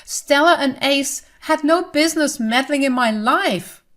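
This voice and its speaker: A woman voicing a lying, self-pitying grown daughter who pleads in a high whine. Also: measured pace, fairly bright tone. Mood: whining.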